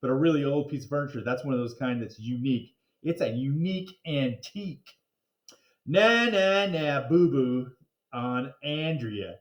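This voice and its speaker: Man voicing a cheeky excitable schoolboy, echoey room. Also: mid-range voice, normal speed, highs cut off, natural voice. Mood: gloating. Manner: excitable, teasing. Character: cheeky, boastful second-grade boy who hates school. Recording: roomy, echoing sound.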